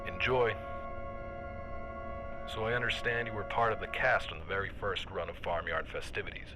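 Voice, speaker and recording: Male actor as public radio host, lowish voice, measured scripted delivery, conspiracy-minded and composed, music bed. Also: fairly even intonation, close to an everyday voice. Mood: inquisitive, reassuring. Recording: music behind the voice.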